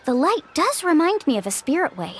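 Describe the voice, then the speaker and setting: A woman's voice: high-pitched, sing-song, a little fast, a little hiss or hum. An actress playing a friendly, encouraging young woman guide who leads the group in a video game. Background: game music.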